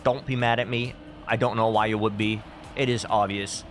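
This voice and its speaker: A man's voice: on the low side, fairly even intonation, moderate pace. Man casting a game stream over music. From Denmark. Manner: dry, tongue-in-cheek joking. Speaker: esports caster and streamer. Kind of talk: casual live stream chat with game commentary.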